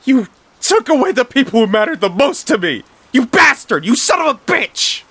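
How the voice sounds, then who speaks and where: A man's voice: very high voice, big pitch swings, normal speed, pushed and bright, husky, no echo, pitched above the performer's own voice, exaggerated voice. A man voicing an anguished male fish who screams in rage at his brother. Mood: enraged.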